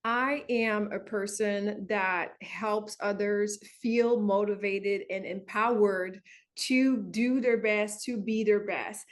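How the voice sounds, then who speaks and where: A woman's voice: medium pitch, fairly even intonation, slow pace. A woman from Montreal with a Quebec French accent, French her first language, a bubbly wellness coach answering interview questions. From Montreal, Canada. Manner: bubbly, laughs often.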